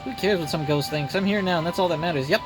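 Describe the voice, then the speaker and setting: A man voicing a cocky young swordswoman painter in a game, with rough, slangy, boastful talk. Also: on the high side, fast talker, over music, close to an everyday voice. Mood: brash.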